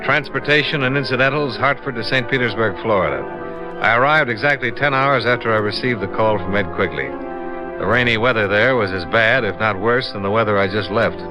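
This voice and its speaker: An actor playing a brisk, hard-boiled American insurance investigator in 1950s radio drama, clipped and matter-of-fact. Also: male voice, on the low side, natural voice, rough recording. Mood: businesslike, narrating. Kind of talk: 1950s radio detective drama acting and first-person narration. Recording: vintage radio recording with music.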